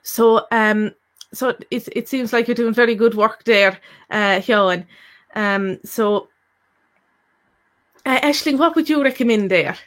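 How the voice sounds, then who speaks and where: A woman's voice: a little high, takes it slowly, long pauses, a bit bright. An Irish woman co-hosting a live Q&A, reading out questions sent in by viewers. She talks hesitantly, with plenty of fillers and restarts. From Ireland. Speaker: co-host of a language-learning Q&A.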